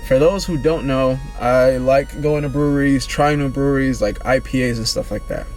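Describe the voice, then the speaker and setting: A man in his twenties, vlogging in a relaxed, rambling, slangy way, answering questions about himself. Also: medium pitch, normal speed, faint music behind. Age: 20s. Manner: laid-back, rambling, slangy. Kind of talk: casual get-to-know-me chat.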